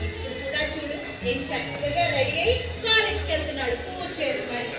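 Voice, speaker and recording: A woman's voice: high-pitched, expressive, a little fast. Lady acting as a scolding mom in a stage play, super emphatic, far off with music and crowd behind. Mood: nagging, sarcastic. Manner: nagging, emphatic, sarcastic. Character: scolding mother doting on her elder son. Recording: distant echoey hall, music and crowd.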